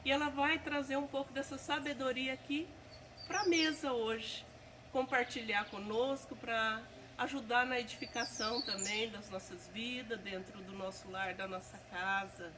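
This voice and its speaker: A woman interviewing a church elder, affirming her and asking gentle questions. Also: a little high, fairly even intonation, moderate pace, far from the mic, noisy background.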